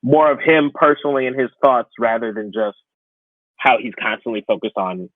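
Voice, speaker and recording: A man's voice: medium pitch, moderate pace, dry recording, narrow, tinny audio. A young man who hosts a podcast, chatting casually about pop culture in a conversational way with wry asides. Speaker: podcast host.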